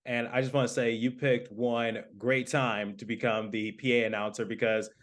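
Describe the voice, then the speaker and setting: A man's voice: medium pitch, flat delivery, moderate pace. A man hosting a sports podcast, interviewing in a casual, conversational way.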